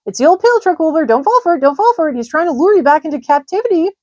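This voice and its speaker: A bossy, excitable goose in a children's storybook, shrieking orders far above a normal voice and repeating each one twice, as a woman puts her on. The calls come loud and emphatic, in a close, dry recording. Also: extremely high pitch, very fast, no room sound, pitched above the performer's own voice. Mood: scolding warning.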